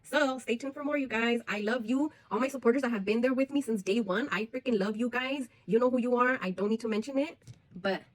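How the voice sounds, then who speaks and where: A woman's voice: on the high side, brisk, dry recording, rough recording. A woman, talking frankly and bluntly to her viewers in a personal vlog, swearing freely. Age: 40s. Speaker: vlogger.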